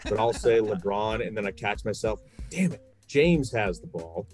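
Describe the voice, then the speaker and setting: Low-voiced man, blunt sports broadcaster chatting. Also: animated, moderate pace, a little mellow, soft background music. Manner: blunt, colloquial. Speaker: sports broadcaster, podcast guest. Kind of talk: casual chat.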